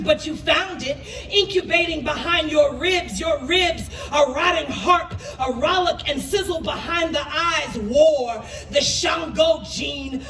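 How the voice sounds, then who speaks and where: A woman's voice: very high-pitched, a bit bright, slight background noise. A woman performing spoken word poetry with an impassioned, emphatic and dramatic delivery. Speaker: poet. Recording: roomy echo, distant microphone.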